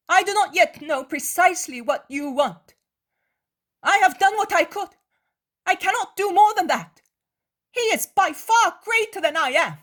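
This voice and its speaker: A woman voicing a guilt-ridden, torn male disciple who pleads in high-strung outbursts. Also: a bit bright, full character voice. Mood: despairing.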